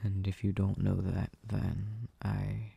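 A man performing gentle, intimate boyfriend ASMR in a soft, soothing, hushed way, close to the microphone. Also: sits low, flat delivery, takes it slowly, vocal fry, breathy edge, dry recording. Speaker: comfort audio performer playing a boyfriend. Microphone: close desk microphone.

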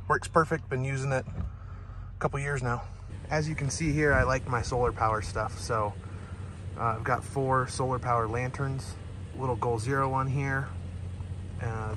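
A man in his thirties walks through his camping gear in an easy, matter-of-fact way, as if talking to a friend. His voice sits in a middle pitch, and it is recorded outdoors on a handheld camera. Steady outdoor noise runs clearly behind him. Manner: relaxed, matter-of-fact show-and-tell with fillers. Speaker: overland camping vlogger. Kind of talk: casual vlog narration. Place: outdoors at a forest campsite.

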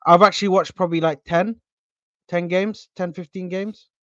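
Slangy animated man on a dry call. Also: high voice, normal speed, no echo, dull audio. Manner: animated, slangy, pleading "bro, listen". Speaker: channel host. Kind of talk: casual football chat.

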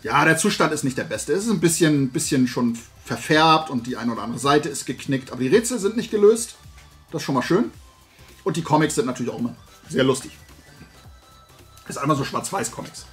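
Casting: middle-aged male vlogger, fairly high voice, rambling enthusiast, music underneath. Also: very animated, brisk, a bit bright. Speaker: YouTube host and collector. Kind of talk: casual haul vlog chat. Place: indoor room full of shelved toys.

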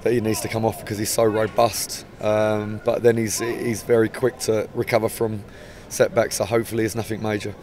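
A man in his forties, a football manager with an English accent, giving a measured, hesitant post-match interview outdoors by the pitch. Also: little pitch movement, a little mellow, slight background noise. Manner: measured, hesitant, many restarts. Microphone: handheld mic held up close.

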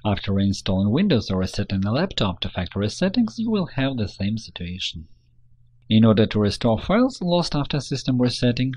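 Husky man narrating a tutorial. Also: medium pitch, darkish tone, dry recording. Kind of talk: scripted instructional narration.

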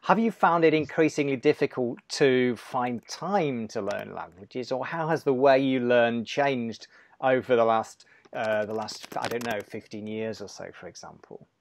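A man in his forties, speaking at a middle pitch, hosts an interview over a video call from home. He builds long, thoughtful questions in several parts. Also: a little slow, no echo. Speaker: interview host. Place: room at home.

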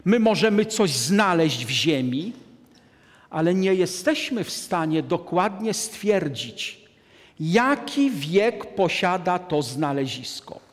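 A man in his sixties giving a deliberate, emphatic lecture to an audience from a lectern in a large hall. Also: sits high, very animated. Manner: deliberate, emphatic, gestures at a map. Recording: long hall reverb.

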